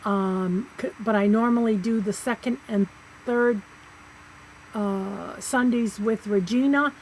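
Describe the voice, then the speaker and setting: A middle-aged woman casually listing items for sale on a live auction stream, slow and halting with many pauses and fillers. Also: mid-range voice, a little mellow, slight background noise, rough recording. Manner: slow, halting, lots of ums.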